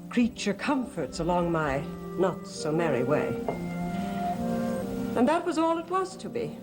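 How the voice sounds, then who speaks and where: Guilt-torn gothic soap heroine: halting, dramatic, widely swooping delivery, music underneath. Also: female voice, lowish voice, wildly swooping pitch. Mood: anguished, confessional. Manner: theatrical, halting, confessional. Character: mysterious actress torn by guilt over a wealthy suitor. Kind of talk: 1970s gothic soap opera acting.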